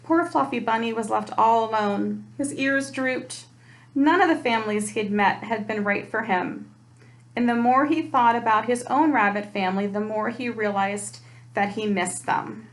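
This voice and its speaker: A woman's voice: fairly high pitch, moderate pace. A woman reading a children's picture book aloud in a storytime style. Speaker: storytime reader, parent. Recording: echoey room.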